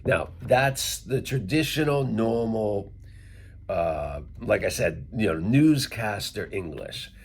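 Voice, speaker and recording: A man's voice: on the low side, animated, slow, a little hiss or hum. A middle-aged man from Worcester, Massachusetts, with a light local accent, explaining English sounds casually to his viewers and spelling out words step by step. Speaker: English teacher vlogger. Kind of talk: casual teaching talk to camera.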